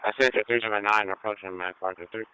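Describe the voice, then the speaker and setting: A male train operator reports over two-way radio in an extremely clear, fairly low voice. The sound is dry and he gives plain field updates. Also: fairly even intonation, quick pace, no echo, phone-quality sound. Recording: dry transit radio transmission.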